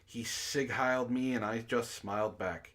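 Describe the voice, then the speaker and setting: A man's voice: mid-range voice, fairly even intonation, unhurried, a bit bright. A man hosting a book club stream, reading aloud and chatting casually. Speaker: host and reader of a comic book club stream.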